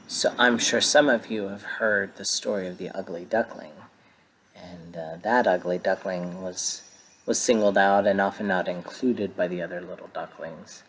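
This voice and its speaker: A man reading a picture book aloud to children, breaking off for gentle, conversational asides that explain the story. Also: deep voice, steady tone, moderate pace, slight background noise.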